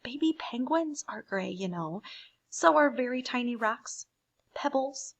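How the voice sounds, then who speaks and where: An overburdened picture-book crayon, acted by a woman, grumbles wearily. Words are stretched out slowly, and the pitch swings between low sighing tones and high protest. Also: lively intonation. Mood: weary exasperation. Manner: weary, drawn-out. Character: tired, overburdened gray crayon, grumbling and exasperated.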